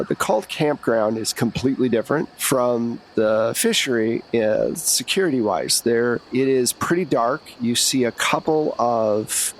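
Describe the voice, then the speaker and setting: A man's voice: medium pitch, poor audio. Male game master, mid-range voice, informal conversational storytelling for a tabletop game, slight noise behind. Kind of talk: casual tabletop game narration. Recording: faint background noise.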